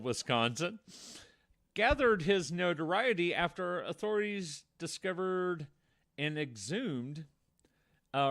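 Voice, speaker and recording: A man's voice: fairly high pitch, fairly bright tone. A man co-hosting a podcast, telling true-crime stories in a conversational way with wry, darkly joking asides. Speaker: podcast co-host.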